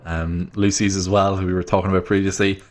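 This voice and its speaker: A man's voice: deep voice, flat delivery, fast talker. A man co-casting an esports stream with casual, wry banter and commentary. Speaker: esports co-caster.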